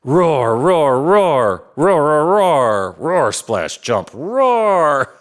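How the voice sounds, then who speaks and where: A man's voice: fairly high pitch, sing-song, drawn-out words, pitched above the performer's own voice, heavily acted. A man voicing a stubborn, boastful little dinosaur who roars again and again in a children's picture book. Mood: roaring, excited. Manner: roaring loudly and repeatedly.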